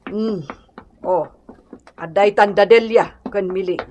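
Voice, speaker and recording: A woman's voice: medium pitch, slow pace, a little mellow, a little hiss or hum, close to an everyday voice. An actress playing a stern, authoritative female village captain in a Filipino radio drama. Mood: matter-of-fact. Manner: authoritative, admonishing.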